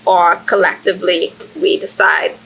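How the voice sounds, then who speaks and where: Bright-voiced woman on a panel. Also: on the low side, fairly even intonation, normal speed, creaky, husky, rough recording. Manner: casual, chatty, fillers. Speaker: panelist, archive founder. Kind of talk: conference panel discussion. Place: conference session room.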